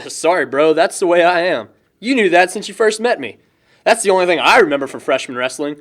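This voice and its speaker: A young male actor as a chatty, lovestruck young guy with a lively, swinging, fairly high voice. He is lighthearted and joking, rambling through casual audio drama dialogue. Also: brisk. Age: young adult.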